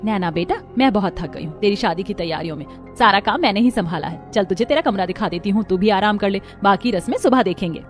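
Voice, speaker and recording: A woman's voice: mid-range voice, animated, fast, few pauses, faint music behind, close to an everyday voice. A woman voicing a kind, reassuring middle-aged mother-in-law in a Hindi cartoon story. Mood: tired, caring.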